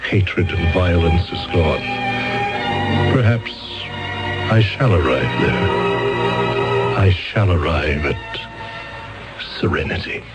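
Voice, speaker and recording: An earnest lovesick lawyer. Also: male voice, sits low, lively intonation, slow pace, long pauses. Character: earnest male lawyer in love. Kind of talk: 1970s radio drama acting. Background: radio drama music.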